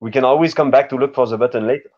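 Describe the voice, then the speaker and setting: A man's voice: medium pitch, very fast. A man improvising as a player in a tabletop role-playing game, speaking in a hesitant, politely formal way. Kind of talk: improvised roleplay narration. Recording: dull lo-fi microphone sound.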